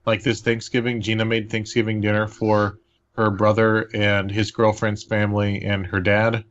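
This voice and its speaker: A man's voice: lowish voice, completely flat delivery, normal speed, fairly bright tone. A man hosting a casual podcast chat, recorded with slightly dull, lo-fi audio. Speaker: podcast host.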